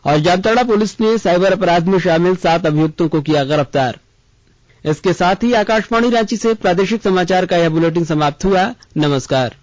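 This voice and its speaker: A man with a notably high voice reads a scripted news bulletin. The sound is very dry and close, with the dull, lo-fi tone of a radio broadcast. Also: brisk, dry recording. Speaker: radio newsreader.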